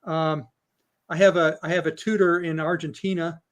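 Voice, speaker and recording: A man's voice: a little high, level tone, moderate pace. A chatty man talking casually in an online meeting, self-deprecating. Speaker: guest presenter.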